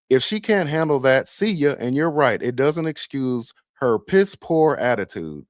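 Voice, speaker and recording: A man's voice: mid-range voice, no echo, clean studio sound. A man who works as a bus operator, hosting and giving emphatic, opinionated commentary on a clip and viewer comments. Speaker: bus operator and channel host.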